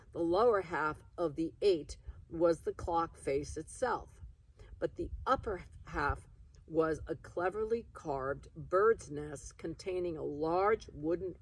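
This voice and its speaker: A woman reading a children's story aloud in an expressive storytelling style. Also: lowish voice, husky, far from the mic, poor audio. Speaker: reader of a children's book.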